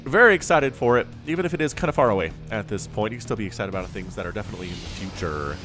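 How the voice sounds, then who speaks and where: Solo caster with a cold, sniffly; animated, chatty, wide-ranging pitch, faint music bed. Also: male voice, rapid-fire. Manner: chatty and animated, goes off on jokey tangents. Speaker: StarCraft shoutcaster. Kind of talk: solo game commentary.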